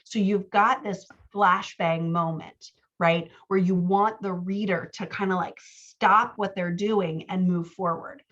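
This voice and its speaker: A woman with a lowish voice works through a writer's draft as a coach, explaining as she thinks aloud. Her delivery is conversational, checking in as she goes. The recording is dull and a little lo-fi. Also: steady tone, measured pace, few pauses, fairly bright tone. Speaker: writing coach and podcast host. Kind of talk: conversational manuscript critique.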